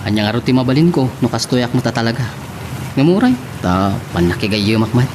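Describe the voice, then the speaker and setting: Man acting animated young Ilocano businessman. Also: medium pitch, fairly quick, close to an everyday voice. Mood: reluctant, earnest. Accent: Ilocano Filipino. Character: reluctant, serious young businessman, quietly smitten with another woman. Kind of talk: Filipino radio drama acting. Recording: noisy radio drama track.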